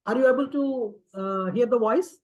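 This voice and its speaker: A man hosts an online session, talking haltingly with many fillers and tag questions. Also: very high-pitched, a little mellow. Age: 50s. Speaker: session host. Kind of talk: online talk to a community group. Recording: dull lo-fi audio.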